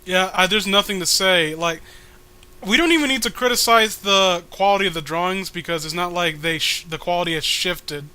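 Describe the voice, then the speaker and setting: Bright-voiced man giving sarcastic commentary. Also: high-pitched, normal speed, clean tone. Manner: sarcastic, rambling, foul-mouthed. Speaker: co-host reading a webcomic aloud with commentary. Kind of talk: casual mocking commentary.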